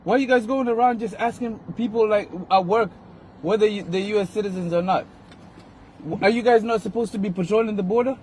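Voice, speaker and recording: A high-voiced man with a foreign accent argues indignantly, challenging whoever he faces. He is recorded outdoors, with faint street and vehicle noise around him. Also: fairly quick, a little mellow. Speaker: rideshare driver. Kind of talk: confrontational argument caught on phone video. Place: outdoor parking lot.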